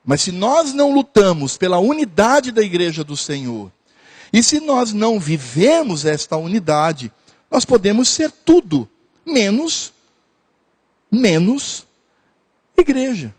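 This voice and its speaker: A man's voice: sits high, sing-song, takes it slowly, slightly rough. A man preaching a sermon as pastor, repeating phrases earnestly and hesitating at times.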